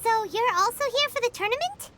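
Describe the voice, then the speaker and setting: A woman voicing a small, curious, chirpy fairy companion in a video game, with a squeaky childlike voice. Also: animated, brisk, heavily acted. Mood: puzzled. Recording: loud music behind the voice.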